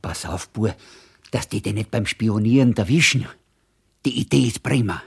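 An actor playing an old Viennese innkeeper in a radio play, a fond, grumbling father with a husky voice. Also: fairly low pitch, expressive, normal speed, heavily acted. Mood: reassuring. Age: older.

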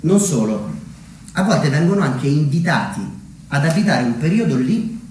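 A man delivering a scripted theatrical monologue as a storyteller, measured, with deliberate dramatic pauses. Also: on the high side, sing-song, a little mellow. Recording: very echoey room, distant mic, slight noise.

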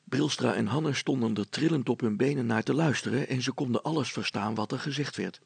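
A male storyteller reads a storybook narrative in a heavily creaky, husky voice. The sound is close and dry, with hardly any room around it. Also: mid-range voice, a little fast, no echo.

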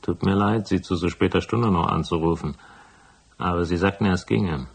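A man playing a hard-boiled private detective in a noir radio drama, with a gravelly, husky voice and a dry, laconic delivery. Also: sits low, normal speed, full character voice. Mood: matter-of-fact. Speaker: first-person narrator and lead character.

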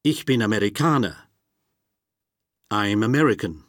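A man reading phrases for a language course, slowly and deliberately, recorded close to the mic. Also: on the low side, animated, dry recording. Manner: slow, deliberate, carefully spoken phrases.

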